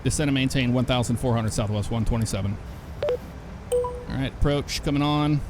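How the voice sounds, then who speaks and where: A man's voice: medium pitch, moderate pace, heavy background noise. Easygoing man narrating his flight sim. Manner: relaxed, chatty, reads back radio calls. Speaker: flight sim channel host. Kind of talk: casual gameplay commentary.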